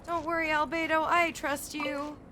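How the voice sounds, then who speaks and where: A woman's voice: high-pitched, flat delivery, normal speed, noisy background. A woman doing casual live playthrough commentary as a game streamer, playfully reacting aloud. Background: game music.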